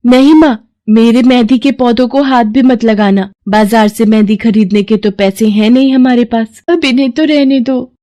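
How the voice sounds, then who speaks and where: A woman's voice: a little high, fairly even intonation, moderate pace, hardly any pauses, dark tone, natural voice. A woman voicing a young daughter in a moral storybook, eager, tender and stubborn, pleading earnestly. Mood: upset. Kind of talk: acted Hindi moral storybook dialogue.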